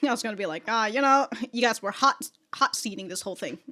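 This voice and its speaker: Slangy, animated woman reacting casually. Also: a little high, fast talker. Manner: slangy, giggly, animated asides. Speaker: reaction video host.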